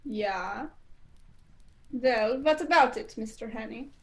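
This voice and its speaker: Young woman playing a high-voiced, politely menacing spy chief. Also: slow pace. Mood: suave menace. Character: suavely menacing spy chief posing as respectable citizen. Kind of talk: amateur radio play acting. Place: indoors, performed over a video call.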